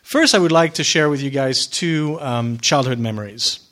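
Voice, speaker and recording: A man's voice: medium pitch, moderate pace, fairly bright tone. Swiss-born man telling a childhood story. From Switzerland. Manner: casual storytelling with fillers. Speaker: filmmaker and speaker. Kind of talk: personal talk to a live audience.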